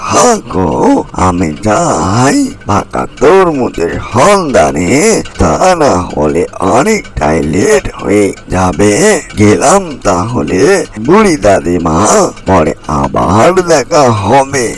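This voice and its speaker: Male actor as restless crow, fairy-tale cartoon, unhurried pace, drawn-out exclamations, very animated pitch. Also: exaggerated voice. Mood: busy, eager.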